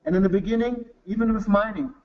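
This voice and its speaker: A man from Germany, speaking English with a German accent, gives an earnest webinar business presentation in halting non-native English full of restarts. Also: high-pitched, dull audio. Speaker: company executive presenting to a webinar.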